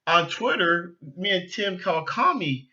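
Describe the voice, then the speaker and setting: A man with a fairly high, very bright and forceful voice talks casually as an opinionated sports fan. He rambles, doubling back on phrases as he goes. The recording is slightly dull and lo-fi. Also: lively intonation, measured pace. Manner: rambling, repeats and restarts phrases. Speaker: sports podcast host.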